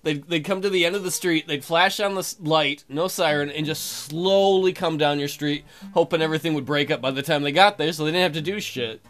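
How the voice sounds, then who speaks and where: A man's voice: fairly high pitch, brisk, few pauses, a bit bright. A man doing jokey, crude, rambling commentary as he plays and reads a game aloud. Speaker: let's play host.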